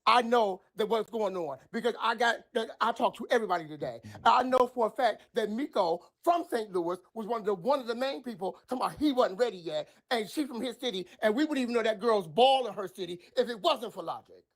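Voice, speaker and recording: A woman arguing on a panel in a forceful, interrupting way. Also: a little high, animated, brisk, few pauses. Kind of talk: heated panel argument.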